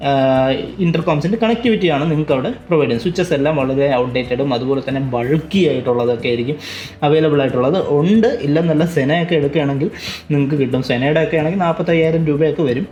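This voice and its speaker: A man's voice: fairly high pitch, fast, a little mellow, faint music behind. A man giving a casual, explanatory unboxing review, often repeating and rephrasing himself as he goes. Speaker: tech vlogger and product reviewer.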